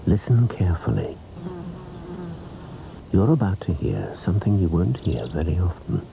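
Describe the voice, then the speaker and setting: A man's voice: sits low, very animated, normal speed. A man reading a scripted car commercial as a voice-over. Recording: clearly noisy ambience behind voice.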